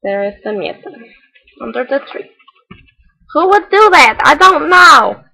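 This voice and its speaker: Woman putting on a high-voiced quirky storybook reader, mock foreign accent. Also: big pitch swings, unhurried, creaky, bright, forceful tone, full character voice, rough recording. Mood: quirky storytelling. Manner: exaggerated storytelling with odd, solemn asides. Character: Jo, quirky, earnest children's read-aloud hostess. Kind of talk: parody storybook read-aloud with deadpan asides.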